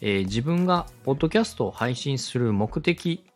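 A man's voice: medium pitch, lively intonation, normal speed, faint music behind. A man giving a polite, step-by-step explanatory talk as a podcast host and instructor. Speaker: podcast host and radio director teaching podcast making.

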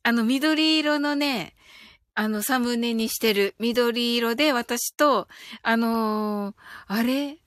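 A woman's voice: a little high, takes it slowly, dry recording, clean studio sound. A woman hosting a live audio stream, chatting casually and reading out listener comments in a giggly, chatty way. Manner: giggly, chatty, often ends phrases with soft filler particles.

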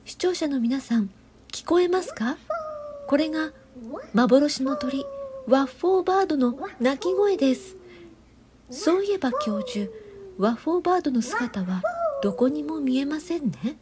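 A woman playing a polite, earnest television reporter interviewing a professor in a comic audio drama, with a measured interviewer's delivery. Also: sits high, very animated, background noise. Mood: delighted. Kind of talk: comedic audio drama acting.

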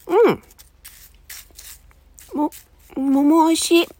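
A woman's voice: high-pitched, extremely slow, mellow, no echo. A woman chatting casually in a food-tasting ASMR style, murmuring short remarks between mouthfuls and at times dropping toward a whisper. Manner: talks while eating ice cream. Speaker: audio streamer.

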